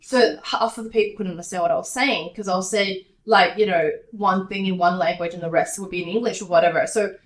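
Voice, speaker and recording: A woman's voice: lowish voice, fairly quick, few pauses, a little hiss or hum. Australian woman, Taiwanese first language, chatty interview guest, run-on sentences, echoey poorly miked room. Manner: chatty, loose run-on sentences, many fillers. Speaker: guest, estate agent and DJ. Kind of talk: casual interview chat. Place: hotel room in a city. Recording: echoey room, poor mic.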